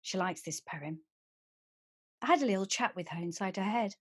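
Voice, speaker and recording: A woman playing a calm, protective female inner voice who speaks softly and soothingly in a solo stage monologue. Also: medium pitch, moderate pace, husky, dry recording, studio quality, close to an everyday voice. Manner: soft, soothing, confiding.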